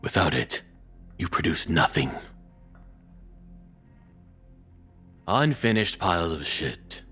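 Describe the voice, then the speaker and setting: A man playing a cruel, controlling ex-boyfriend in an intimate audio roleplay, taunting and belittling slowly in a breathy, husky voice that drops toward whispers. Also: low-pitched, sing-song, pushed and bright, faint music behind, exaggerated voice. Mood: menacing, degrading. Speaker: voice actor.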